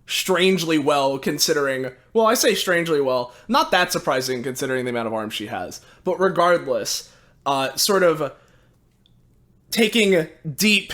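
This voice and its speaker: Higher-pitched man, rambling game player. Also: fairly bright tone. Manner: halting, rambling, self-correcting. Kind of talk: casual improvised game narration.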